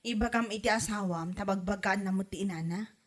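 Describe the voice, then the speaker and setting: A woman's voice: medium pitch, fairly quick, natural voice. A woman playing a gossipy female friend who is indignant on the young wife's behalf in a comedy drama. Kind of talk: comedy radio drama acting.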